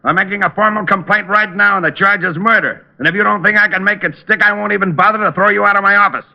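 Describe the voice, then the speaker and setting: A man's voice: high voice, steady tone, quick pace, creaky. A man playing an authoritative, stubborn police lieutenant with a blunt, clipped manner in 1940s radio acting. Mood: angry. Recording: muffled old-time radio recording.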